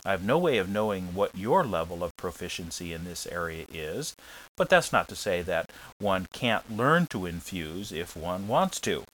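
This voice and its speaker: A man's voice: sits low, sing-song, moderate pace, a bit bright, low-quality recording. A man hosting an advice podcast, reading listener letters aloud and answering them in a blunt, jokey, frank way.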